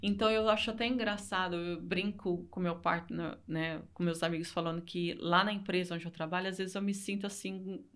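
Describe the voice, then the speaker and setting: A woman's voice: lowish voice, little pitch movement, brisk, few pauses, fairly bright tone. A Brazilian woman speaking Portuguese with a Brazilian accent, a guest telling her story in a casual interview, earnest and repeating phrases for emphasis.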